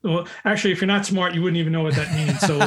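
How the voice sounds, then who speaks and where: A man's voice: a little high, fairly even intonation, rapid-fire, a bit bright. An older man, a recruiter, chatting on a call and telling long, rambling anecdotes with frequent restarts. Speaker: recruiter, guest. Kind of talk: casual conversation on a call. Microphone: call audio.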